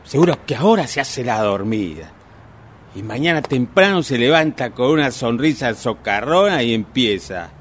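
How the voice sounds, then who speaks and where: Male actor, tipsy grumbling husband, Rioplatense accent, radio drama, exasperated muttering, animated pitch. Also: moderate pace, slight background noise, natural voice. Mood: grumbling, secretive. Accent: Argentine Rioplatense Spanish. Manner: muttering, exasperated complaining to himself. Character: grumbling tipsy husband stumbling home at night.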